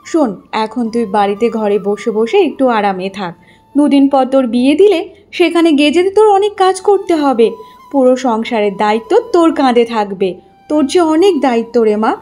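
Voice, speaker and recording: Woman voicing a high-pitched, fussing cartoon mother. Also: animated, brisk, mellow, soft background music. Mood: reassuring, insistent. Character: poor, hardworking, self-sacrificing middle-aged village mother. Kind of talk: Bengali cartoon story dialogue.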